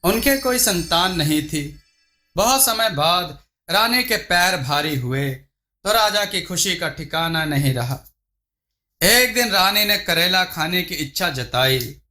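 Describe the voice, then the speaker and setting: A man's voice: high voice, expressive, slow, sharp tone. A man reading a scripted folk tale as a storyteller. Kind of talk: scripted narration of a Hindi moral folk tale.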